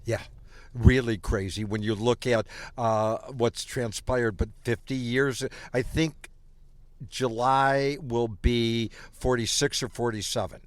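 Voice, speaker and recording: A man's voice: mid-range voice, fairly even intonation, slow, dry recording. An older man with a Chicago accent who chats with wry, teasing humor. He is a podcast guest at a restaurant, and the sound is dry and close. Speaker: guest, former radio marketer. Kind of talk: casual chat.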